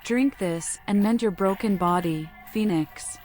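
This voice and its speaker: A woman's voice: medium pitch, measured pace, darkish tone, soft background music, lightly acted. A woman voicing a mad female vampire in a video game, solemn and eerie, speaking in cryptic declamations. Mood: cryptic, commanding. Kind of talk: fan voice-over of a video game protagonist.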